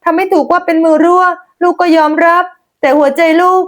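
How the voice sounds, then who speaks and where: A woman voicing a gentle, patient young village daughter-in-law in a folk tale, polite and pleading. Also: very high voice, steady tone, a little fast, pitched above the performer's own voice. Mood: earnest pleading. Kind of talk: dramatized folk tale narration.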